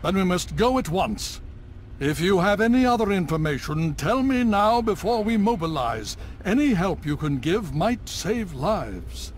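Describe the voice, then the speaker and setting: An actor playing a stern, weary old military leader in a video game, speaking in a grave, measured, authoritative way. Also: male voice, high voice, very animated, a little hiss or hum. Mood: grave, urgent. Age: older. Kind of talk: video game character acting.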